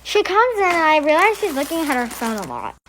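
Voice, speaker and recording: A woman's voice: high-pitched, huge pitch swings, brisk, a bit bright, a little hiss or hum. A young woman narrating a first-person storytime.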